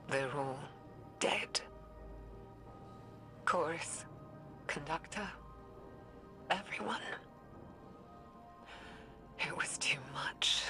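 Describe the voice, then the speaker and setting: An actress playing an anguished, guilt-ridden woman scientist dictating research logs in a measured, hesitant, breathy way, as in a dramatic video game. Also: sits low, full character voice. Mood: grief-stricken. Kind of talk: dramatic video game voice acting, recorded log. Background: music.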